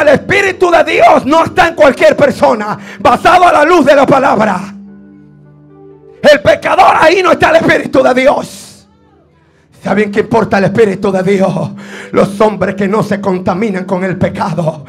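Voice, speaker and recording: A man's voice: very high-pitched, moderate pace, fairly bright tone. A man preaching a fervent Pentecostal sermon in a strained voice, exhorting and insistently repeating his phrases. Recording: preaching over faint background music. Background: faint music.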